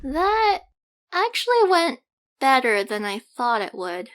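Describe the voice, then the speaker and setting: A woman playing a young, excitable and cheeky alien in an audio roleplay, with an eager, questioning manner. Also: high voice, slow, heavily acted.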